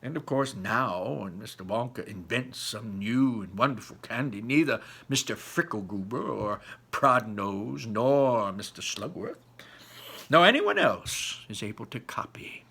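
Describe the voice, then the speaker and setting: A man voicing an excitable elderly grandfather telling a mysterious storybook tale, dramatic and dropping to hushed tones for the mystery. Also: medium pitch, measured pace, vocal fry, husky, voice pushed down, full character voice. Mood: excited. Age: older.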